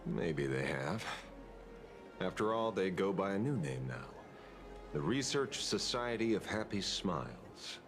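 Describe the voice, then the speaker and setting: A man's voice: sits low, sing-song, measured pace, music in the background, heavily acted. An actor playing a self-assured male private detective in a video game, theatrical, musing and playful. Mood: somber. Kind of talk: dramatic video game dialogue.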